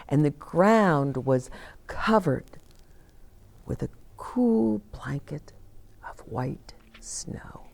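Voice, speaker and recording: A woman in her sixties reading a picture book aloud expressively, with dramatic pauses and hushed emphasis. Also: sits low, vocal fry. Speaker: children's storyteller. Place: small decorated indoor set, seated.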